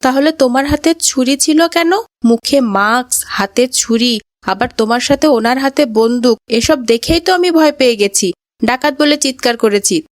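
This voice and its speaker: A woman as an earnest, high-voiced cartoon child. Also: moderate pace, no room sound, clean studio sound, close to an everyday voice. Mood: upset. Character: earnest young grandchild, polite and excitable. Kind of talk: cartoon moral story dialogue.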